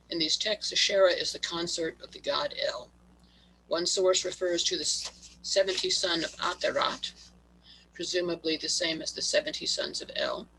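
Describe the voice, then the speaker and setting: A man giving a prepared talk over a video call, reading haltingly and stumbling on words, his voice worn from allergies. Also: on the high side, creaky, pushed and bright, a little hiss or hum, low-quality recording. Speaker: guest presenter.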